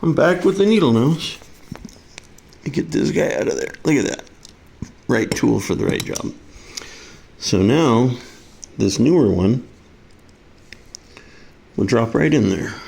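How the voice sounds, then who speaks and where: A man's voice: mid-range voice, expressive, takes it slowly, gravelly, pauses often, a little mellow, slightly rough. A man casually demonstrating a hands-on repair, muttering to himself as he works. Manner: muttering to himself while working. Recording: faint background noise.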